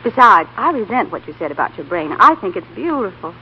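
A woman playing a sensible, affectionate young woman in love, gentle but firm, in 1930s radio acting style. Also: mid-range voice, big pitch swings, fairly quick, husky. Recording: muffled, hissy 1930s radio broadcast.

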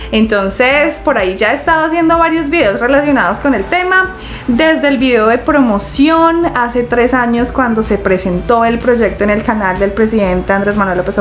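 A young Colombian woman with a Santander accent, hosting a casual reaction video from her living room and talking in a chatty, animated way with frequent laughs. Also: on the high side. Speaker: YouTube reactor. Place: living room, indoors, on a sofa.

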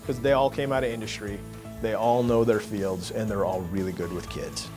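A middle-aged man, a school administrator, speaking in a measured, promotional way in a documentary interview. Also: on the low side, steady tone, over music. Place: indoor office.

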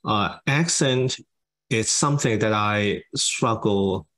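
A man from Hong Kong with a Hong Kong English accent that shifts between British and American, speaking in a measured, thoughtful way over a video call from his study. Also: on the low side, a bit bright. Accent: Hong Kong English, mixing British and American. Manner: measured, thoughtful, halting pauses. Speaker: guest speaker. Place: home study with bookshelves. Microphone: webcam on video call.

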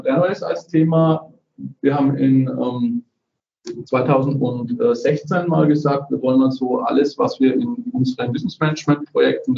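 A man's voice: mid-range voice, darkish tone. A man on a video call, casually presenting his community platform, dotting his speech with "ne?" and "äh". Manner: chatty, frequent "ne?" tags and "äh" fillers. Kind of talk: casual screen-share presentation. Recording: roomy, distant, dull video-call audio.

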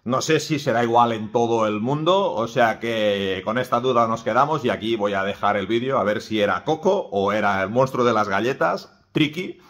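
A man's voice: mid-range voice, normal speed, few pauses, a bit bright, no echo, clean studio sound. A man in his forties from Spain, chatting casually to camera as he shows items at a covered flea market, often asking his viewers questions. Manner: chatty, asks viewers questions, jokes about his English. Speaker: vlogger, flea market dealer. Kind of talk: casual vlog chat to camera. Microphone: phone at arm's length. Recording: noisy open-air market background.

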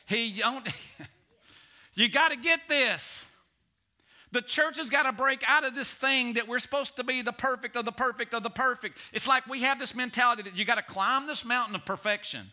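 A middle-aged male pastor preaching a sermon on a church stage, animated, swinging between loud emphasis and hushed asides. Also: very high voice, moderate pace.

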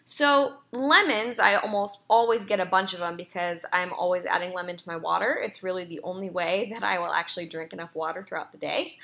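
A woman's voice: lowish voice, steady tone, fairly quick, creaky, hardly any pauses, pushed and bright. A young woman, a personal trainer, chatting casually in a voice-over as she walks through her online grocery order, with an upbeat manner and frequent ums and uhs.

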